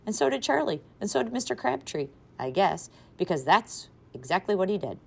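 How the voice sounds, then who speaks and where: A woman teacher reading a children's novel aloud to a class, with expressive, varied loudness. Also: mid-range voice, a little fast, creaky. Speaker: third grade teacher.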